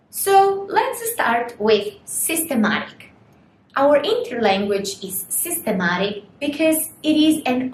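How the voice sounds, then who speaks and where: A young woman whose first language is Portuguese gives a recorded university lecture, explaining slowly and putting rhetorical questions to students. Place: small studio room in front of a screen. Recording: echoey room, faint rumble.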